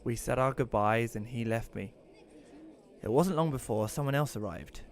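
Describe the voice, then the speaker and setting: A man plays a reclusive, slightly awkward widower in an audio drama. He tells the story in the first person at an ordinary male pitch. The delivery is informal and conversational. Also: normal speed, natural voice. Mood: reflective narration.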